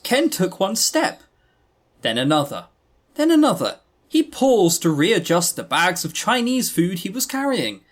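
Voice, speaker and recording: Animated, exasperated podcast co-host with lively pitch. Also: unhurried. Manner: animated, exasperated, sweary, emphatic. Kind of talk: casual visual novel review chat.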